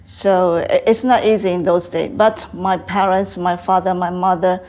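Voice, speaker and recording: An older woman from Taiwan with a Taiwanese accent, answering interview questions in halting English with frequent fillers. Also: lowish voice, level tone, a little mellow. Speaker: guest, tea house owner.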